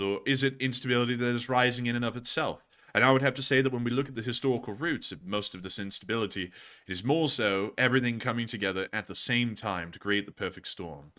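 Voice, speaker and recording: A man's voice: medium pitch, sharp tone. A man from Alabama putting on a posh British accent as he hosts a live stream, answering viewer messages in a wordy, formal, mock-pompous manner. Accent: put-on posh British. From Alabama, United States. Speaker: livestream host.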